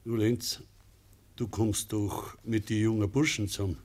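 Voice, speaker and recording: An actor playing a proud, grumpy middle-aged farmer in a radio drama, speaking firm, insinuating Bavarian dialect. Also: male voice, fairly low pitch, steady tone, a little slow, a little mellow. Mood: stern, warning. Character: proud, grumpy farmer father, guarded and warning.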